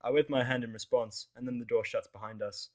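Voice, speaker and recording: A man narrating a fan fiction audio drama in the first person, expressive and wry. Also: medium pitch, fairly quick.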